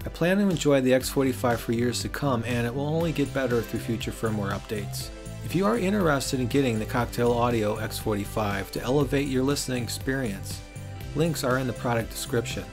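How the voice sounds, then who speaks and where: A man hosts a gear review in scripted narration. His voice sits at an average pitch for a man. He explains things step by step, with light music behind him. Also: animated, a little fast. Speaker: product reviewer and host.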